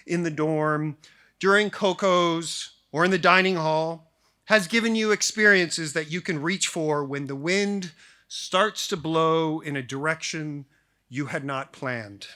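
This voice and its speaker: American male, 40s, formal commencement-style speaker, slightly high pitch, bright forceful tone, measured delivery with deliberate pauses. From grew up in Japan, then suburban Detroit, US. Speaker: school faculty member giving a valedictory speech. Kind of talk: prepared formal speech to graduating class.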